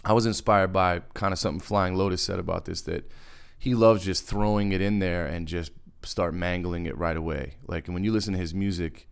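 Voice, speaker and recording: Deep-voiced man, gravelly, casual tutorial. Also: steady tone, brisk. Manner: laid-back slang, rambling asides. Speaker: music production tutorial host. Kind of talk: casual screen-recorded tutorial. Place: indoors, voice-over on a screen recording. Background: music from the software.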